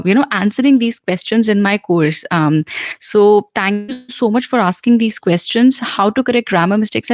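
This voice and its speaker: A middle-aged woman from central India with an Indian accent, a soft skills trainer hosting a live question and answer session, chatting and answering viewer comments with many fillers and small laughs. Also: medium pitch, dry recording. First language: Punjabi. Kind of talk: live stream Q&A.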